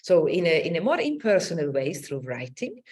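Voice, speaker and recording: A middle-aged woman lectures in a slightly low voice that rises and falls a great deal. She feels her way through ideas, halting and repeating phrases as she explains. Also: sing-song, darkish tone. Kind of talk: academic lecture with slides, improvised.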